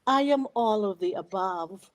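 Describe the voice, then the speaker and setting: A woman in her sixties with a Filipino accent, speaking reflectively and deliberately as an interview guest. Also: mid-range voice, expressive. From Philippines. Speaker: podcast guest, retired educator.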